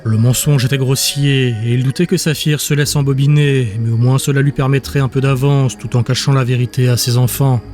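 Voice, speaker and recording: Male narrator, scripted fantasy storytelling, mid-pitched, little melodic variation, background music. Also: a little mellow. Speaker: audiobook narrator.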